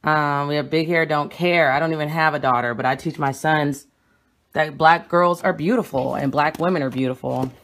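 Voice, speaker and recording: Blunt, emphatic woman with a low voice. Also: fairly even intonation, fairly bright tone. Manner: emphatic, blunt and quick-talking. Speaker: vlogger. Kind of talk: casual home vlog showing books. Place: small room at home, indoors. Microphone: phone camera held at arm's length.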